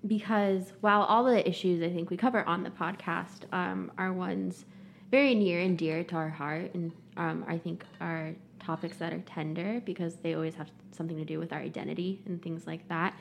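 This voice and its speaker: A woman hosts a podcast, posing a personal question with care. Her voice sits low with a gravelly fry. She hesitates often, filling gaps with ums. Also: fairly even intonation, normal speed, slight background noise. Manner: warm, tentative questioning with frequent fillers. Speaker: podcast host. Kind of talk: casual interview conversation.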